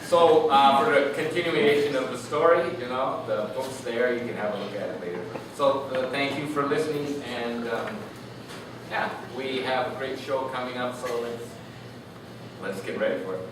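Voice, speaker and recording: In a very reverberant room, away from the mic with noise behind him, a man reads his literary work aloud. His delivery is lyrical and animated, with deliberate, expressive phrasing at a middling pitch. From Canada. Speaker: author reading own work. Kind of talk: expressive literary reading to an audience. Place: live reading event in a room. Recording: echoey noisy room, distant mic.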